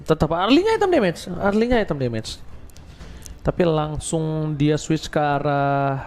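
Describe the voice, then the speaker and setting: A young male co-caster with a high voice chats about the match in relaxed slang. He teases his partner and slips jokes in between his points about the game. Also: normal speed, a little hiss or hum. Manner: joking, teasing banter in slang. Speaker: esports co-caster. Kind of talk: casual esports commentary banter.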